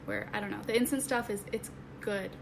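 A woman's voice: medium pitch, fairly quick. A nineteen-year-old woman chatting as a podcast guest, friendly and a bit hesitant, with many ums. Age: teens. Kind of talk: casual chat. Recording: clear background noise.

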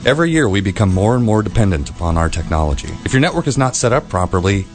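A man announcing a scripted radio commercial. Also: low-pitched.

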